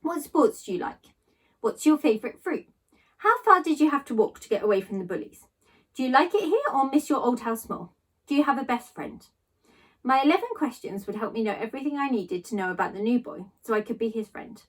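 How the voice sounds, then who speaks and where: Higher-voiced woman reading a children's story. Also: animated, a little fast, slightly rough, distant mic, rough recording. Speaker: teacher reading aloud to pupils. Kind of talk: expressive children's story narration.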